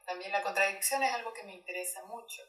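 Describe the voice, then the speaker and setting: A Chilean woman in her thirties, her accent blending Chilean and Mexican Spanish, speaks in a relaxed and reflective way, pausing to think. She sits away from the microphone, and the room adds a noticeable echo to her voice. Her pitch is mid-range for a woman. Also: distant mic. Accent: Chilean Spanish mixed with Mexican. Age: 30s. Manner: thoughtful, pausing, laughs often. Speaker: poet and guest. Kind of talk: relaxed conversational interview.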